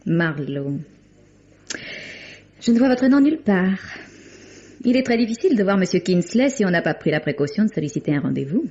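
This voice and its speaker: An actress playing a haughty, tart secretary in a French radio mystery, cool and dismissive in manner. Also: female voice, on the low side, sing-song, normal speed, long pauses, a little mellow. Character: haughty, tart female secretary guarding the boss. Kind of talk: French detective radio drama acting. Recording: dull, band-limited vintage radio recording.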